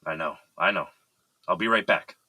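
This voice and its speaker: A man's voice: low voice, moderate pace, sharp tone, breathy edge, no room sound, exaggerated voice. An actor playing a composed, evasive but polite businessman in an audio drama. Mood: urgent, worried.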